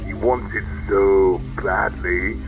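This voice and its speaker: A man's voice: sits low, fairly even intonation, takes it slowly, gravelly, muffled recording, over music, heavily acted. A man playing a smug, sardonic villain in a sci-fi audio drama, drawling and taunting. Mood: condescending. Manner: drawling, taunting, theatrically casual.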